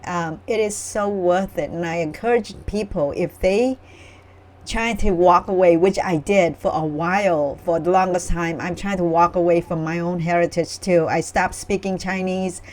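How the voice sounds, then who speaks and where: A woman's voice: fairly low pitch, steady tone, normal speed, darkish tone. A middle-aged woman from Vietnam, telling her personal story in an earnest, reflective way as a guest author. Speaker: memoir author, panel guest.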